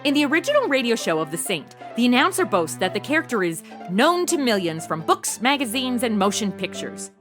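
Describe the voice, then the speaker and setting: A woman's voice: medium pitch, a bit bright. A woman host reading scripted narration.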